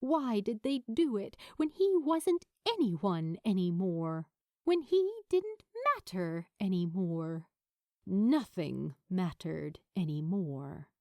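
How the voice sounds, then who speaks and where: A woman reading scripted fiction aloud as a narrator, with an expressive, dramatic delivery. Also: on the low side, slow pace, dry recording, studio quality.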